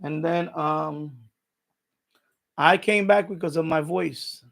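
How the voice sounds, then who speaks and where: A man's voice: a little high, takes it slowly, gravelly, a bit bright. An older man hosting a live stream with casual, rambling chat, often hesitating and repeating himself.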